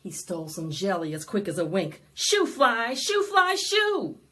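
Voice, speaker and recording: A woman presenting storytime for young children, singing and reading aloud in an animated, sing-song way that coaxes them to join in. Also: medium pitch, measured pace. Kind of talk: interactive preschool storytime, singing and read-aloud.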